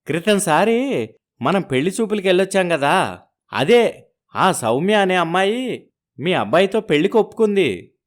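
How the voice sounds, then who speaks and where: An ingratiating marriage broker in a cartoon family story, voiced by a man. He is courteous and flattering, with a somewhat high male voice. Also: moderate pace, long pauses. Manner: polite, flattering.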